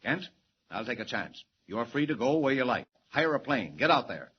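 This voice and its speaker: A man voicing a gruff middle-aged newspaper editor from an old radio serial, blustering and demanding. His pitch swings widely around a middle register. The recording is dull and lo-fi with faint hiss. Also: very animated, normal speed, lightly acted. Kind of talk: 1940s radio acting.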